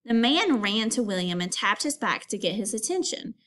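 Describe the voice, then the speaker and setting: A woman teacher giving a read-aloud lesson for children, instructive and expressive, as if putting questions to pupils. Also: medium pitch, moderate pace.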